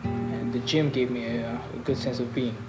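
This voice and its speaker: A man's voice: medium pitch, flat delivery, moderate pace. A man, a boxer telling his story reflectively in a documentary interview. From Odessa, Ukraine and Brooklyn, New York. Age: 20s. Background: plucked guitar music.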